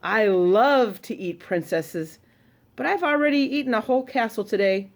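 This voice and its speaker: A woman voicing a boastful, lazy male dragon in a storybook reading, low and gloating. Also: lively intonation, moderate pace, natural voice.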